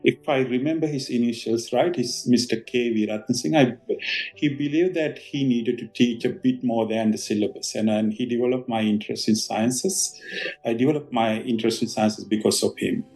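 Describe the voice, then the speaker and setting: A Sri Lankan man in his fifties, a doctor answering podcast interview questions with many pauses and fillers. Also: mid-range voice, dark tone, soft background music, low-quality recording. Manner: hesitant, many ums and uhs. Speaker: guest doctor.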